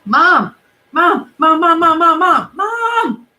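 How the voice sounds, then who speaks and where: A woman voicing an excitable young boy who is urgent and insistent in a storybook reading. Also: high-pitched, normal speed, pitched above the performer's own voice. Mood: frantic.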